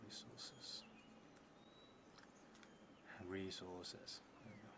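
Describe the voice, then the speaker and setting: Low-voiced, musing man, noisy recording. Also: fairly even intonation, extremely slow. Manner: hesitant, musing, trailing off. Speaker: solo tabletop roleplay player and streamer. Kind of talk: improvised storytelling, thinking aloud. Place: indoors at a table in a theatre room. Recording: clearly noisy room recording.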